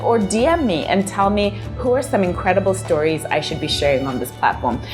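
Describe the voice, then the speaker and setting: A young woman from Zimbabwe who speaks Shona as her first language, hosting an interview show and affirming her guest in long, emphatic questions. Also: medium pitch. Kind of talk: warm encouraging interview and channel outro.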